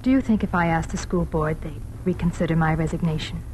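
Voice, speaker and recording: A woman acting a warm-hearted young schoolteacher in a 1940s radio drama. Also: low voice, fairly quick, close to an everyday voice. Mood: tender. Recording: noisy 1940s radio broadcast recording.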